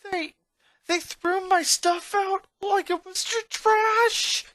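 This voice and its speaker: A man's voice: extremely high pitch, fairly even intonation, slow, sharp tone, dry recording, clean studio sound, voice pushed up, heavily acted. A man voicing an anxious, indignant young boy in a story reading, with a whiny, strained and emotional delivery. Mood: shocked, hurt. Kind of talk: dramatized fan fiction reading.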